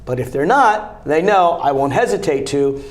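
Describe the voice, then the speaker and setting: A man with a fairly high speaking voice makes his campaign pitch in a TV studio interview. He talks fast and emphatically, pressing each point like a fighter. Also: expressive. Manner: emphatic, combative, rapid-fire argument. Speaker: congressional candidate. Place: local cable TV studio.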